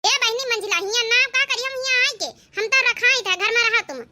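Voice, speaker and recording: Woman playing a timid, very high-voiced eldest daughter-in-law. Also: quick pace, bright, forceful tone, exaggerated voice. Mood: cautious, reluctant. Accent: Awadhi. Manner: hesitant, cautious. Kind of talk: comedy cartoon dialogue.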